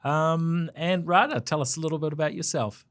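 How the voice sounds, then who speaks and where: A man's voice: fairly high pitch, normal speed. A man with a New Zealand accent hosting a podcast interview, casual and loose, with many fillers and restarts. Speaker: podcast host.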